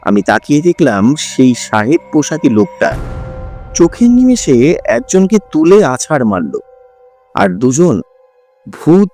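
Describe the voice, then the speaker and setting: Slow, swooping male horror narrator. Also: a little high, wildly swooping pitch, a little mellow, soft background music. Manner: suspenseful, deliberate, short pause-broken phrases. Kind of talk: dramatic first-person scripted narration.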